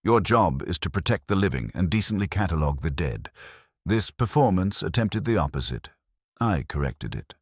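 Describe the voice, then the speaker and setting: A man's voice: deep voice, big pitch swings, moderate pace, no echo, clean studio sound. A man voicing an imperious, pedantic genius detective who speaks in formal, pompous pronouncements in an audiobook. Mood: stern, self-assured.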